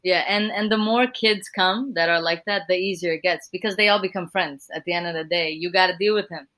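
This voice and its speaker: A woman speaks in a somewhat low voice. She tells her stories in an easygoing, lively way, peppered with "like" and "right?". Casual interview chat. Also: fairly quick. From Brazil. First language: Portuguese. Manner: lively storyteller, fillers like "like" and "right?". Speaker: guest singer.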